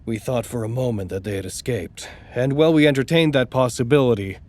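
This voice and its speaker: A man's voice: medium pitch, fairly quick, darkish tone, natural voice. An actor playing a wry, anxious male facility officer in an audio drama, with formal, articulate speech. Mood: confessional, wistful.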